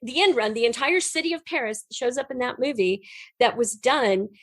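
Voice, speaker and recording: A woman talking casually as an interview guest, telling anecdotes in an animated way with thoughtful pauses. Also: on the high side, no room sound. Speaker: podcast guest, audio drama writer and producer.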